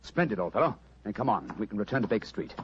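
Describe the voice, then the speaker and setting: Brisk, fast-talking British detective. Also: male voice, fairly low pitch, sing-song, a little hiss or hum. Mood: excited. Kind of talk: 1940s radio drama acting. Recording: dull vintage radio recording.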